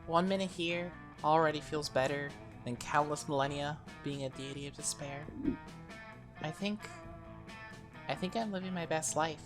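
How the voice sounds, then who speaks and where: A woman playing a cheerful former deity turned monster high-school girl, earnest and expressive, in a game fan dub. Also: normal speed, music in the background, close to an everyday voice. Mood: tender, sincere.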